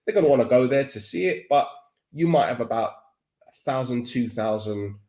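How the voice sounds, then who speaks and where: A man's voice: medium pitch, lively intonation, normal speed, a little mellow, reverberant. A man with a Cockney accent, a podcaster talking as a guest expert in a casual, rambling interview chat. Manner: chatty, rambling with restarts.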